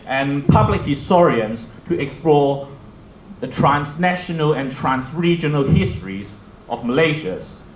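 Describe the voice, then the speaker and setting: A man's voice: medium pitch, echoey room, slight background noise, poor audio. A young man with a Hong Kong accent, moderating a museum roundtable with joking, self-deprecating remarks. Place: museum event hall.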